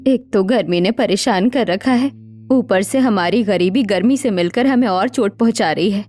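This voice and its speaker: A woman's voice: mid-range voice, moderate pace, a little mellow, natural voice. A woman voicing a worn-out, anxious old mother-in-law in a cartoon story, with a plaintive, dramatic delivery. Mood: lamenting, weary. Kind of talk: Hindi cartoon story dialogue.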